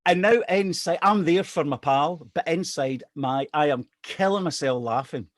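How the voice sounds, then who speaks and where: A man's voice: fairly high pitch, moderate pace, no echo, clean studio sound. A middle-aged man from Scotland, telling a personal anecdote in a casual, joking way with restarts. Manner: chatty, self-deprecating humour, restarts. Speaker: storyteller.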